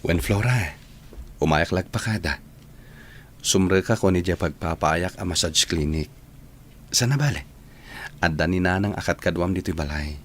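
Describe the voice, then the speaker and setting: A man's voice: low voice, unhurried, long pauses, a bit bright, a little hiss or hum. A man playing a patient, devoted and tender character in an Ilocano radio drama. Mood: tender, reassuring.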